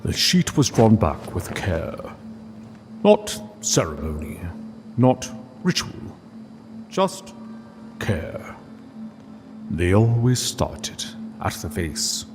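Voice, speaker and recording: Guy narrating a somber story, lowish voice, really slow n deliberate w dramatic pauses, very solemn. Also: big pitch swings, long reverb tail, music in the background. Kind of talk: scripted literary story narration.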